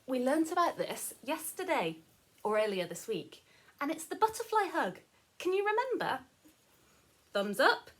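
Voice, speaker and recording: A woman teacher reading a children's story aloud with chatty asides, in a gentle, encouraging, sing-song manner. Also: high voice, breathy edge.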